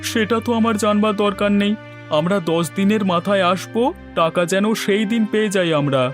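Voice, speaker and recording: A man plays a stern cartoon moneylender. His voice is notably high for a man, hard and threatening. Faint music plays behind him. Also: a little fast, natural voice. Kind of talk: Bengali fairy-tale cartoon dubbing.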